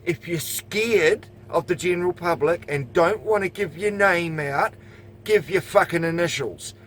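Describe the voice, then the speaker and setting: Host voice: higher-pitched New Zealand man, lecturing and swearing, faint hum behind. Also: measured pace, fairly bright tone. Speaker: video channel host commenting. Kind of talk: angry commentary.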